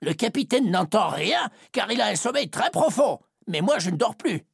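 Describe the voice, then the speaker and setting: A worried one-eyed pirate sailor, played by a woman, talking low and slow in a creaky, husky voice as he pleads his case. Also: big pitch swings, dry recording, clean studio sound, exaggerated voice. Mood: pleading.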